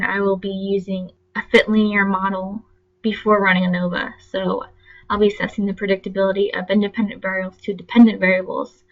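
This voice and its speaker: A young woman walks through a class project, her voice congested and stuffy from allergies. She talks plainly and a bit haltingly, with ums and self-corrections along the way. The recording is dull and lo-fi, like a computer mic on a screen capture. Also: mid-range voice. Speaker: student presenter.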